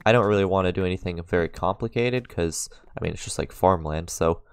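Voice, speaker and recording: A man's voice: deep voice, brisk, gravelly, a little hiss or hum. A man talking through his gameplay in a casual, rambling way, with lots of fillers and restarts, his voice congested as he records in his room at home. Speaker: let's play host.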